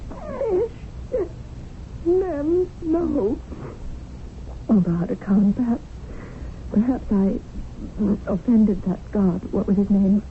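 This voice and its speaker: A woman's voice: fairly high pitch, very animated, slow pace, pauses often. An actress playing a flustered, lovelorn English lady, earnest and hesitant, in a 1970s radio drama. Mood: miserable, pleading. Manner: hesitant, emotional, polite. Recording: noisy narrow-band old radio broadcast.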